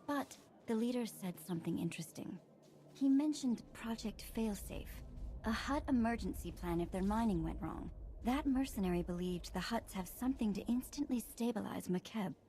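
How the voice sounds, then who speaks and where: A female actor plays a blunt, impatient official in a video game. She is dry and brusque at a middle pitch. Faint ambient noise sits behind her. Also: normal speed, dark tone, lightly acted.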